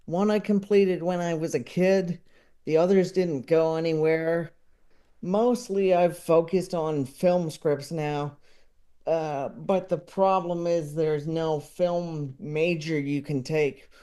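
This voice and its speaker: A man chatting casually as a podcast guest, talking in a halting, rambling way. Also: fairly high pitch, steady tone, mellow, smooth. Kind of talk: casual interview chat.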